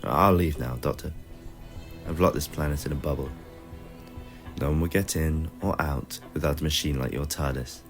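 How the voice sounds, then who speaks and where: Mysterious menacing woman read by a man, very deep. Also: moderate pace, over music. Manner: cryptic, threatening. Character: mysterious, menacing woman from a time-travelling race. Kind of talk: fan audio drama reading.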